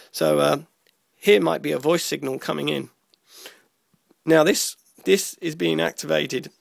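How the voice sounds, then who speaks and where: A man giving an informal electronics tutorial, explaining step by step in a halting way, often repeating and rephrasing himself. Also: a little high, gravelly, no echo. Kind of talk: informal explanatory lesson walking through a diagram.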